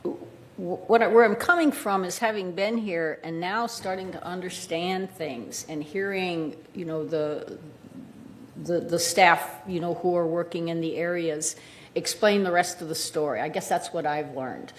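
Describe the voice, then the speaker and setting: Halting woman with a lowish voice. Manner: halting, searching for words. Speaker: board candidate at a community forum. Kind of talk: impromptu spoken answer at a meeting.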